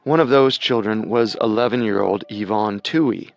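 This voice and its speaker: A man co-hosting and narrating a scripted true-crime show in a measured, dramatic storytelling manner. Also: fairly low pitch, vocal fry, faint music behind. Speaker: podcast co-host and narrator.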